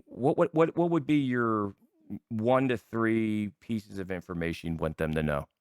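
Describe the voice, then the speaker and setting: A man's voice: on the low side, normal speed, clean tone, dry recording, studio quality. A middle-aged man casually hosting an interview, effusive and complimentary toward the people he talks with. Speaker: talk show host.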